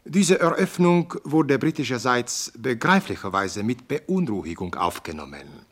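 An actor playing a formal, emphatic radio newsreader reporting on a conference in a radio play. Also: male voice, mid-range voice, very animated, measured pace. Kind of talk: scripted news bulletin within radio play.

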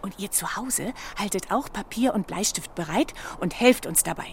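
A woman playing a brisk, decisive adventure guide who leads a children's audio play. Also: mid-range voice, gravelly, a little hiss or hum, natural voice.

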